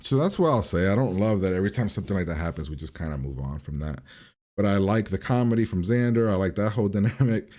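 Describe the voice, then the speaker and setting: A man's voice: low voice, expressive, fast, darkish tone. A man hosting a reaction video, giving a casual, informal review and rambling as he repeats and revises his points.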